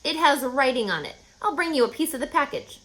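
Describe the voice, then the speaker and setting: A woman's voice: high-pitched, big pitch swings, a little fast, fairly bright tone, pitched above the performer's own voice, low-quality recording. A woman voicing a grumpy, sarcastic male rat in a children's storybook, snappy and put-upon. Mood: boastful. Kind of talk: children's storybook read-aloud.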